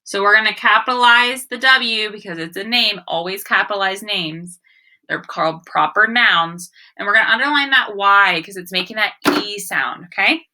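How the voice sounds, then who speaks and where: A woman's voice: medium pitch, normal speed, bright, forceful tone. A woman teacher giving a read-aloud phonics lesson, speaking directly to children in an instructional way and sounding words out with emphasis. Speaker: elementary school teacher.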